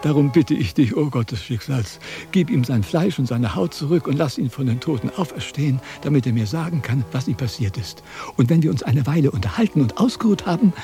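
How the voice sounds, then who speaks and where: An actor playing a self-important, wordy wandering philosopher in a declamatory, quibbling radio drama style. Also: male voice, medium pitch, animated, brisk, warm tone, faint music behind, natural voice. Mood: pompous reasoning. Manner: declamatory, pompous, sophistical. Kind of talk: theatrical German radio drama acting.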